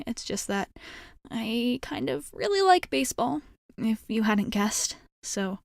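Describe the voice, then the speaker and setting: A woman's voice: on the high side, gravelly, a little mellow, no room sound, studio quality. A woman playing a nervous, blunt, sporty schoolgirl team captain in an ASMR roleplay, rambling and backtracking as she pleads with the listener. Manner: rambling, halting, backtracking mid-thought.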